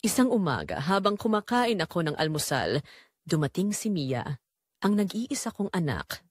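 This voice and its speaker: Lively-voiced wistful widowed mother played by a woman. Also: fairly low pitch, moderate pace, husky, dry recording, studio quality. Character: lonely middle-aged widowed mother, wistful and secretly yearning. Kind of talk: first-person dramatic audio story narration.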